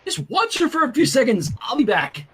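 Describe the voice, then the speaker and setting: Man voicing a flustered, high-pitched teenage girl. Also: huge pitch swings, brisk, a bit bright, distant mic, slight background noise, full character voice. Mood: exasperated. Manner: urgent, stammering, huffy. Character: flustered, exasperated teenage girl minding a baby.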